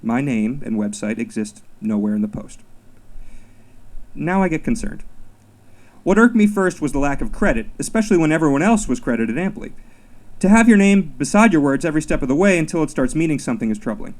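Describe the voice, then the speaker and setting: A man reading his own first-person comic essay at a live literary reading, in measured, deliberate phrases with mock-serious emphasis. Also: fairly high pitch, big pitch swings, darkish tone, rough recording. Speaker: author reading own work.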